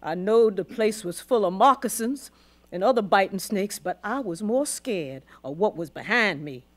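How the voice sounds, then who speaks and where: A woman's voice: medium pitch, animated, moderate pace, close to an everyday voice. A woman acting a weary, devout old formerly enslaved grandmother in a radio drama, with a Southern US accent and solemn, emotive storytelling. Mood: fearful, haunted.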